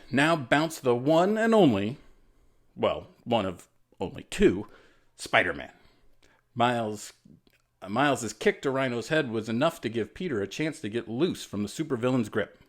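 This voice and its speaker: Male storyteller for kids, friendly and encouraging, highly varied pitch, relaxed asides. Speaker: writer reading his children's story. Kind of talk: animated storytime reading with casual asides.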